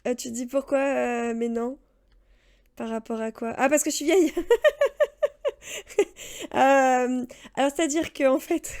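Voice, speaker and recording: A woman in her late thirties streams a game and chats loosely with her viewers, wandering between topics with plenty of fillers and laughter. Her voice sits a little high but has a soft, mellow tone. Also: gravelly. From France. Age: 30s. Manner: rambling, chatty, laughs often, answers chat. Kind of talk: casual let's-play chat.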